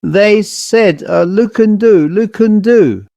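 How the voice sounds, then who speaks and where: Man voicing a shaken explorer, raised in pitch. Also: takes it slowly, creaky, dark tone, no echo, exaggerated voice. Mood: uneasy. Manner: hesitant, helpless, grave. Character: earnest, shaken male explorer recounting eerie events.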